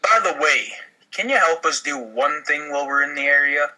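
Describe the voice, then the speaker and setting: Guy at a comedy table read, high forceful voice, pitch jumping around, playful. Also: animated, moderate pace, rough recording. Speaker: podcast cast member reading parts.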